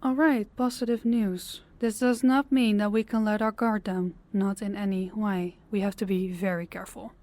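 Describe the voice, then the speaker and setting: A woman's voice: mid-range voice, steady tone, darkish tone, lightly acted. Woman acting a commanding female crime boss. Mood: firm, commanding. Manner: authoritative, deliberate, clipped orders. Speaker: voice actor. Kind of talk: audio roleplay drama acting.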